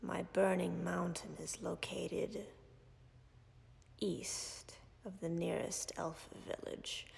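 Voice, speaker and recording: Slow husky-whisper dragon lady, a woman doing her, gravelly and a bit low, dry and commanding, audio roleplay. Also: a little mellow, big-room sound, exaggerated voice. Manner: measured, formal, dryly commanding.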